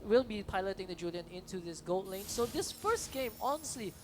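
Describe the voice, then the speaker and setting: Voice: mid-range voice, animated, a little fast, noisy background. An esports co-caster giving casual, chatty color commentary and talking to the stream chat. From Singapore. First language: Chinese is second language. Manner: casual, laughing, talks to chat. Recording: commentary over loud game music.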